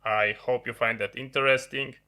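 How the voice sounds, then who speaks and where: A man who is a non-native English speaker, giving a halting, unscripted hands-on explanation of a piece of gear as a video host. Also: mid-range voice, steady tone, pushed and bright. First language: not English. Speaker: host of an electronics review channel. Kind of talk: unscripted hands-on product demonstration. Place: indoor electronics workbench.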